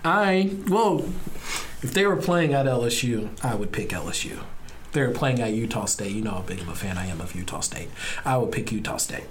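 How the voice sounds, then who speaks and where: A man's voice: mid-range voice, expressive, fairly quick. A young man co-hosting a college sports podcast, bantering playfully and defending his picks. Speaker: podcast co-host. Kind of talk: casual sports chat.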